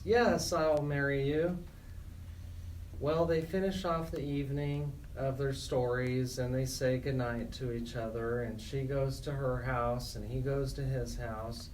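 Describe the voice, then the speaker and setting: A man hosting a radio talk show, speaking deliberately with pauses. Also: medium pitch, darkish tone, distant mic, slight background noise, rough recording. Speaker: radio host and interviewer. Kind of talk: joke telling and interviewing on a radio talk show.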